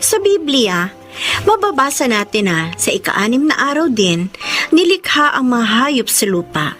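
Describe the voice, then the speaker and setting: A woman's voice: on the high side, sing-song, a little slow, fairly bright tone, faint music behind. An actress playing a reflective female storyteller, reading scripted narration in a radio drama.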